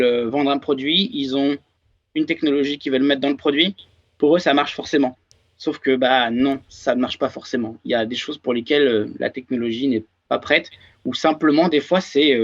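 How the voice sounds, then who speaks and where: A man in his thirties with a French accent, talking as an interview guest and hesitating often with fillers and restarts. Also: medium pitch. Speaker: guest, research and development engineer. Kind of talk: casual interview talk. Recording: dull lo-fi sound.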